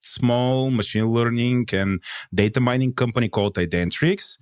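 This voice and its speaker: Low-voiced male podcast host with foreign accent. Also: no room sound, studio quality. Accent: foreign-accented English. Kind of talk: conversational podcast introduction.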